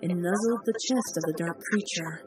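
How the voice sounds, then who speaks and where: A woman narrating a fanfiction story in a measured, dramatic way. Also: on the low side. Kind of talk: audiobook fanfic reading. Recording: distant voice over loud music.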